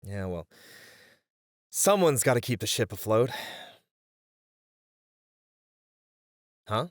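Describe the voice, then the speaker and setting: A man's voice: lowish voice, big pitch swings, normal speed, no echo, studio quality. A man acting an uptight, caring band manager, earnest and mildly exasperated, in an ASMR roleplay. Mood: conceding, earnest.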